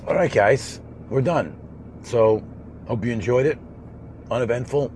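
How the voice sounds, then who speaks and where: A man with a hoarse voice chatting casually to viewers while driving home, rambling and grumbling at traffic. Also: mid-range voice, a little slow. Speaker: vlogger driving home from a race. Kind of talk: casual driving vlog chat. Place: inside a moving vehicle on the road. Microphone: camera mounted in the vehicle. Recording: loud road noise behind.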